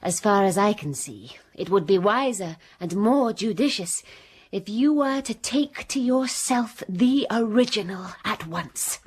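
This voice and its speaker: An actress playing a composed young village schoolmistress in a radio drama, speaking calmly and directly. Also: female voice, mid-range voice, measured pace, breathy edge. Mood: frank. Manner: calm, direct, quietly bold. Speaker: narrator and heroine.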